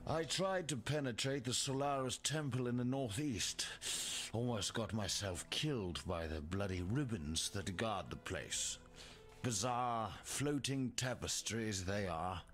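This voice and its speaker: A grim, wary survivor from a video game. His voice sits fairly low and he takes his time with every phrase, measured and sardonic. Faint music plays behind him. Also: fairly bright tone. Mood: grim, wry. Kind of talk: video game character dialogue.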